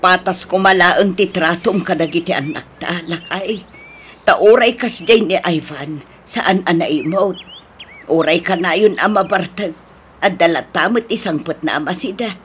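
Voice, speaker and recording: A woman's voice: on the low side, normal speed, slight background noise, lightly acted. A woman playing an older wife and mother, frank and chiding yet caring, in a comic Ilocano radio drama.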